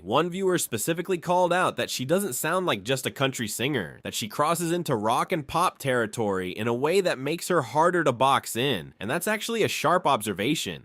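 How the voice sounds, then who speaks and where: A man hosting a reaction channel, delivering scripted video commentary in measured, analytical, polished phrasing. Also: fairly high pitch, a bit bright, dry recording.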